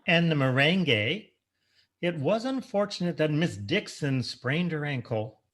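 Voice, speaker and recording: Man acting a polite, higher-pitched mama's boy. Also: expressive, measured pace, a bit bright. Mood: earnest, deferential. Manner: formal, deferential. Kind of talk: comic old-time radio play acting.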